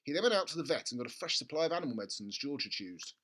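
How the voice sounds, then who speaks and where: A storyteller reading a children's book aloud in an expressive narrating style. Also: mid-range voice, rapid-fire. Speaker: storybook reader.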